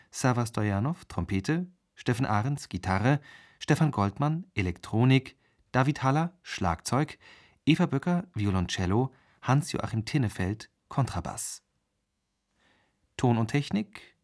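A man's voice: medium pitch, a little mellow. A man reading scripted credits as the announcer of a radio play.

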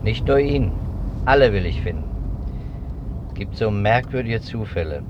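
An actor playing a suspicious, methodical police investigator in a German radio play, recounting his case deliberately. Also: lively intonation. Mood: brooding. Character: dogged, suspicious male police investigator hunting deserters. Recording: noisy dull recording with rumbling traffic.

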